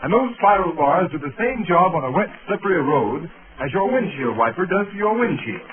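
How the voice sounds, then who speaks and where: A man's voice: high-pitched, sing-song, fairly quick, crowd noise. An American man announcing in 1930s radio advertising style, with emphatic, polished salesmanship. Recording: tinny 1930s radio recording, music behind.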